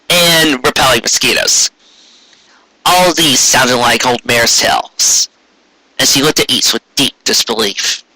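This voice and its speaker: A man reading a story aloud as a dramatic narrator, with expressive emphasis and wry asides. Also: mid-range voice, breathy edge, no room sound, rough recording. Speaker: fan fiction reader.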